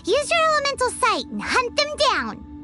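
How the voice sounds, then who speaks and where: A tiny floating fairy sidekick with a very high, bouncy voice that leaps all over the place. She talks about herself in the third person, eager and nosy, drawing her words out slowly. Game music plays clearly behind her. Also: wildly swooping pitch, exaggerated voice. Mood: indignant. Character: tiny chatty floating fairy companion, excitable. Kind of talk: video game cutscene voice acting.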